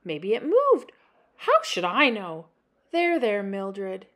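A woman's voice: mid-range voice, huge pitch swings, slow, fairly bright tone, exaggerated voice. A woman playing an anxious, defensive little male porcupine from a children's storybook, speaking in a dramatic, emphatic way. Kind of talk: children's picture-book character voice.

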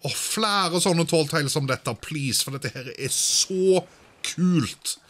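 A man's voice: on the high side, expressive, a bit bright. A man doing casual let's-play commentary, thinking aloud and sprinkling in English exclamations. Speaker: gaming video host.

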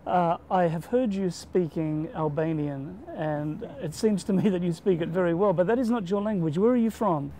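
A man's voice: high voice, normal speed, few pauses, mellow, a little hiss or hum. Affirming middle-aged male interviewer. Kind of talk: interview questions. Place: outdoor rooftop terrace over a harbor. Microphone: lapel.

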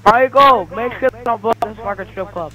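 Young man, high voice, loud slangy trash talk, gaming buddy. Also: a little fast, low-quality recording. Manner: loud, brash slang-heavy heckling. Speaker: fellow player.

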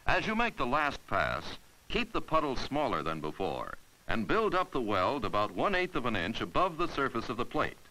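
A man giving scripted instructional narration for a 1950s educational film, patient and clear as he walks through each step. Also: medium pitch, huge pitch swings, moderate pace, sharp tone, poor audio.

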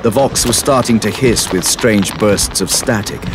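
A man's voice: lowish voice, moderate pace, noisy background. A man giving dramatic scripted narration as the storyteller of an audio drama. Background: music.

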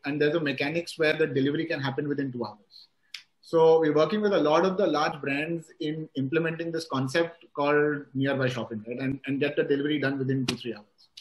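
Male panelist, slightly high voice, explanatory, tags on "right?", roomy video-call sound. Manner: explanatory, frequent "right?" and "you know".

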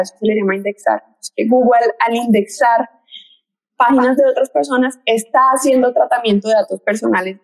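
A woman's voice: fairly high pitch, rough recording. A young woman with a Colombian accent, a lawyer and researcher giving an online lecture over a headset mic, explaining patiently with fillers and restarts. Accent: Colombian Spanish. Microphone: headset mic on a video call.

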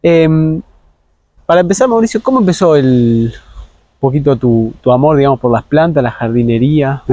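A man in his thirties with an Argentine accent, interviewing casually with informal, halting phrasing. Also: medium pitch, expressive, warm tone, dry recording, highs cut off. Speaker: interviewer, gardening video creator. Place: seated outdoors in a garden.

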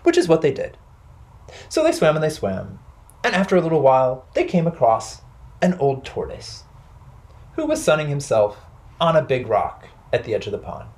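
Expressive young man telling stories. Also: on the high side. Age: young adult. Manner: playful, expressive, deliberate phrasing. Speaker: library storytime host. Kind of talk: children's storytelling narration.

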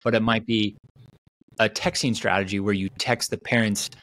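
A man in his thirties talks on a video call, slightly low-pitched and animated, tumbling through restarts and fillers as he explains his ideas. The sound is that of a plain home call mic. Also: normal speed, low-quality recording. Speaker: guest author. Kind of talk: casual podcast conversation.

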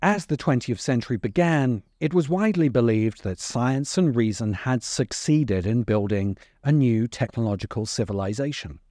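A man in his forties narrating a scripted video essay in a deliberate, emphatic way, close to the microphone. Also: medium pitch, animated, mellow, no room sound. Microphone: close desk microphone. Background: music under the voice.

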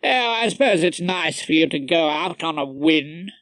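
A man's voice: sits high, normal speed, fairly bright tone, dry recording, voice pushed up. A man voicing a fussy, grudging male barrister in an audiobook, prim and a little petulant.

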